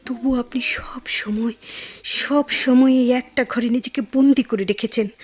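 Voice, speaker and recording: A woman acting a tender, pensive young woman in a radio drama, soft, earnest and a little hesitant. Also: fairly high pitch, steady tone, moderate pace, breathy edge. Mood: earnest, pleading.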